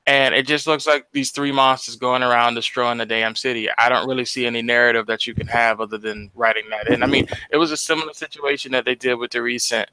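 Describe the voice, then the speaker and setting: A man's voice: mid-range voice, fairly even intonation, fast talker, few pauses, bright, forceful tone, clear, resonant tone, dry recording, low-quality recording. An American man co-hosting a podcast, explaining his points in casual, slangy talk with some swearing. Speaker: podcast co-host. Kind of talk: casual discussion of movies.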